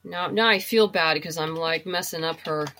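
A woman with a fairly low voice chats as a guest in a casual interview. She talks freely and informally, pausing and restarting as she gathers her thoughts. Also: sharp tone. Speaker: guest, comic book writer and novelist. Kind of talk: casual interview chat, giving reading recommendations.

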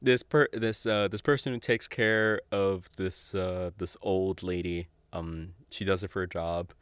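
A man's voice: low-pitched, steady tone, a little slow, fairly bright tone, smooth, no echo. A man hosting a podcast, rambling casually and enthusiastically through recommendations, informal and sweary, often with a chuckle in his speech. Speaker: podcast host. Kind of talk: casual rambling monologue recommending audio dramas.

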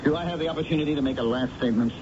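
An actor playing a bitter, lovelorn, defeated middle-aged editor with an American accent, theatrical and sardonic, in 1970s radio drama style. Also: male voice, a little high, rapid-fire, natural voice. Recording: muffled, noisy old radio broadcast recording.

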